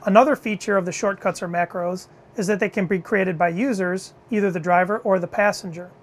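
A middle-aged man leading product innovation, presenting a car cockpit demo in a measured, clear, scripted way in an indoor demo room. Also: high voice, steady tone. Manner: measured, clear explanatory delivery.

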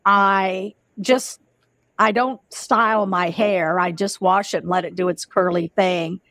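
A woman's voice: mid-range voice, steady tone, measured pace, a bit bright, no echo. A woman in her sixties talks over a webcam from her home, in a mid-range voice. She muses reflectively, often reaching for "you know". The sound is dry and close. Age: 60s. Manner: reflective, musing, with many you-knows. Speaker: host. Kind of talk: casual interview chat. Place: home room. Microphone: video call webcam.

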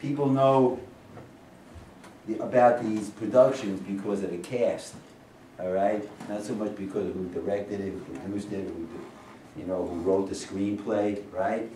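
An older man with a lowish voice addresses an audience informally as the director of a staged reading. He is emphatic and rhetorical, often tagging his points with a check for agreement. The recording is roomy, picked up from a distance with faint noise. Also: fairly even intonation, measured pace, mellow. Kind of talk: informal welcome speech to an audience. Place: medium-sized indoor rehearsal room with audience. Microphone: camera several metres away.

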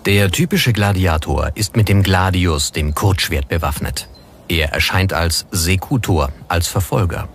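Deep-voiced male documentary narrator. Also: unhurried, a little hiss or hum. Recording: music under the voice. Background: background music.

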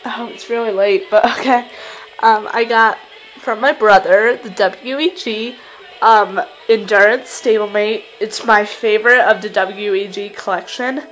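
A woman's voice: mid-range voice, fairly even intonation, unhurried, fairly bright tone, rough recording. A teenage girl with a New York accent, chatting casually in her bedroom at night and filling her talk with fillers while she films on a handheld camera. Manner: chatty, rambling, lots of fillers. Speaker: model horse hobbyist vlogger. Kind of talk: casual show-and-tell vlog.

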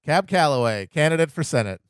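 A man's voice: a little high, expressive, a little fast, clear, resonant tone, no echo, clean studio sound. An American man hosting a podcast, riffing sardonically in casual comedic banter. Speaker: podcast host.

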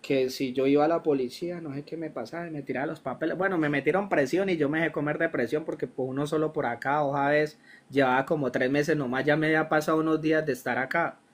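A young Colombian man with a Colombian accent, a guest in a casual interview, telling his story in an animated, slangy way that acts out the dialogue. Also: fairly high pitch, fast talker.

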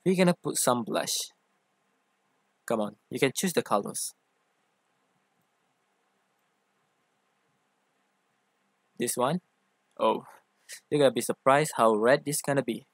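A man voicing a playful, doting, easily jealous femboy boyfriend in an ASMR roleplay, with a teasing, expressive delivery. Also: medium pitch, no room sound, lightly acted. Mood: gentle, playful. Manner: teasing, gushing, expressive, drawn-out emphasis. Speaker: ASMR voice actor.

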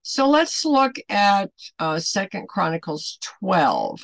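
A woman in her seventies teaching a Bible devotional to camera at home, earnest and deliberate, putting emphatic questions to the listener. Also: medium pitch, wildly swooping pitch, gravelly, no echo. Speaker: online Bible study host. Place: small home room, indoors.

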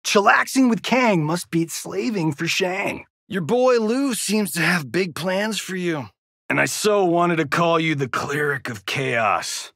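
An actor with an American accent playing a cocky, wisecracking Hollywood action star who fights in a video game, delivering swaggering, sarcastic one-liners. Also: male voice, sits high, lively intonation, a little slow, gravelly, fairly bright tone, no room sound, studio quality, full character voice. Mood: cocky, teasing.

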